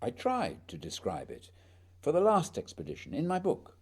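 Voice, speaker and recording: An actor playing a dutiful, reserved male polar expedition leader, measured, grave and formal, in a radio play. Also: mid-range voice, huge pitch swings, breathy edge, full character voice.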